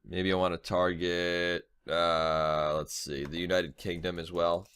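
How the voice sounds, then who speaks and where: A man's voice: deep voice, level tone, a little slow, fairly bright tone, clean tone. A young man with a cold, sounding a little nasal, giving a casual, chatty screen-share tutorial and often checking in with "right?". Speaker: online marketing trainer.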